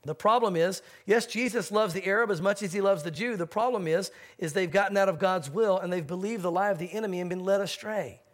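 A pastor preaching from the pulpit, a man with a strikingly high voice. He drives his points home by repeating key phrases in an emphatic way. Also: brisk, hardly any pauses. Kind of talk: sermon.

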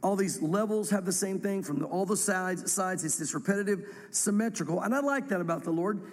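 A man reads scripture aloud and teaches verse by verse, congested from a cold, his voice sitting fairly high. He wanders into rambling, self-deprecating asides between passages. The sound carries a long reverb, like a large church hall. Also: brisk. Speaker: pastor teaching a Bible study.

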